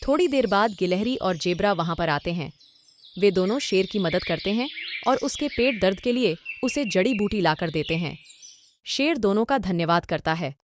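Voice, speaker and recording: A woman's voice: mid-range voice, expressive, moderate pace, background noise. Woman narrating a children's animal fable. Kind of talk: scripted children's story narration.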